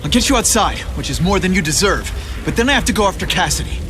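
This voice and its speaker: An actor voicing a young male masked superhero, sarcastic and quick with jokes but firm. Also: high voice, animated. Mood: stern. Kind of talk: video game cutscene voice acting. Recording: game audio with loud music.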